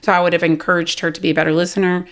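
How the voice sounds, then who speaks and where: A talkative woman with a Southern US accent, speaking candidly and loudly as an interview guest. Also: fairly low pitch, level tone, rapid-fire. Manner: loud, talkative, self-deprecating. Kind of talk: candid personal conversation.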